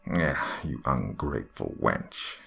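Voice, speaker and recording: A man voicing an evil alien demon queen in a horror story, with a raspy, slithering, mock-tender and menacing delivery. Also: very deep voice, expressive, slow, a bit bright, soft background music, full character voice.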